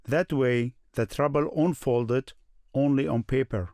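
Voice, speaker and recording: An actor playing a Syrian man looking back on his student years, narrating in a measured, reflective way. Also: medium pitch, a little mellow, no room sound, clean studio sound, lightly acted. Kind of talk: scripted first-person documentary narration.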